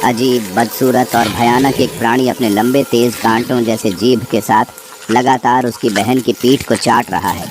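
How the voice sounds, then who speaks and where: Man, horror story narration, medium-pitched voice, noticeable ambient noise behind. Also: fairly even intonation, a little slow. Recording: clear background noise, nature ambience.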